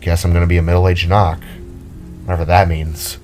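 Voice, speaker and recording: A man's voice: low voice, level tone, fairly quick, a little mellow, soft background music, voice pushed down, close to an everyday voice. A man voicing a shy, awkward teenage boy in an audio drama, hesitant, stammering and easily flustered. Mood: nervous.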